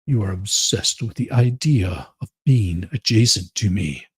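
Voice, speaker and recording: A man voicing an aggrieved, self-righteous woman in a theatrical dramatic reading, with a husky voice and deliberate, indignant manner. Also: on the low side, lively intonation, no room sound, voice pushed down, exaggerated voice.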